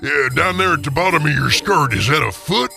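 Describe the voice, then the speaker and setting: A man playing an anxious, humbled old man with a croaky voice in an audio drama. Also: fairly high pitch, expressive, brisk, sharp tone, soft background music, pitched above the performer's own voice, heavily acted. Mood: anxious wonder.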